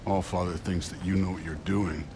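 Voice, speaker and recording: A man playing a huge, surly warrior god in a video game, gruff and scornful, with a gravelly voice. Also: level tone, a little fast, noisy background. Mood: irritated. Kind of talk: cinematic video game acting. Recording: loud rumbling ambience over voice.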